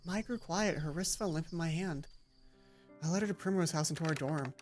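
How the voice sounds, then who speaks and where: A woman let's play host reading a visual novel aloud in an expressive storytelling way, with casual asides. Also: sits low, fairly quick. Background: game music.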